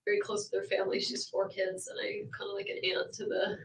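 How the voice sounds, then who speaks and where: A woman in her thirties answering questions in a recorded police interview, hesitant and full of pauses. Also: medium pitch, big pitch swings, gravelly, slight background noise. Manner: hesitant, many "um"s. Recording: echoey room audio from far off.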